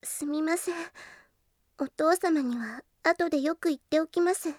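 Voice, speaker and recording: A woman's voice: sits high, measured pace, dry recording, clean studio sound. A woman playing a demure, devoted, very polite young heroine in a Japanese anime audio drama, speaking hesitantly. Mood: apologetic, wistful. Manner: very polite, hesitant, apologetic speech.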